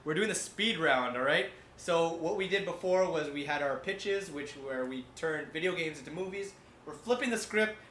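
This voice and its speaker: A man's voice: a little high, normal speed, a bit bright. A man hosting a podcast segment in a casual, rambling, joking way, with informal banter and some swearing. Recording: roomy sound with faint background noise.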